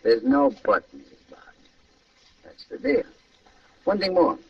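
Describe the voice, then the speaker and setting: Gravelly, swooping nightclub racketeer. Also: male voice, high-pitched, huge pitch swings, a little slow, exaggerated voice. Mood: smoothly menacing. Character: nightclub boss racketeer, oily, smoothly menacing blackmailer. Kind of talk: old-time radio crime drama acting. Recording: muffled distant old radio recording.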